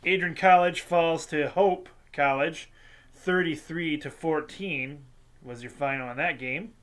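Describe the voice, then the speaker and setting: A congested host, casually reading a sports scores recap with lots of ums and uhs and small jokes. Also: male voice, a little high, fairly bright tone. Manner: halting, filler-heavy delivery with light jokes. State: congested, sinusy.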